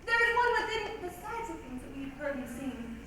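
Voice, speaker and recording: Woman acting an anxious Roman noblewoman, very high voice, pleading, solemn stage delivery, noisy echoing recording. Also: very animated, a little fast, far from the mic. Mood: fearful. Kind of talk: reader's theatre stage acting.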